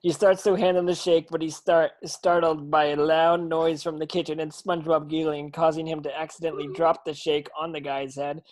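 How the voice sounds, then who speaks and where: A man's voice: a little high, level tone, brisk, few pauses, a little mellow, poor audio. A man reading stage directions as the narrator of a table reading, occasionally stumbling over the script.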